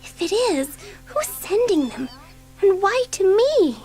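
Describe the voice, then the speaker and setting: A woman acting a mischievous, easily offended schoolgirl in a children's audiobook drama, expressive and dramatic. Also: extremely high pitch, slow, over music, full character voice. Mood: amazed. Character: bored, mischievous schoolgirl, quick to take offence.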